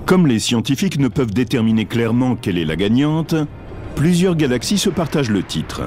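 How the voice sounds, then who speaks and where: A man narrates a documentary in a measured, explanatory way, his pitch moving freely through each sentence. He speaks at a middle pitch, and music plays clearly beneath the voice-over. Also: very animated. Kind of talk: scripted narration. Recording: dubbed voice-over with music behind.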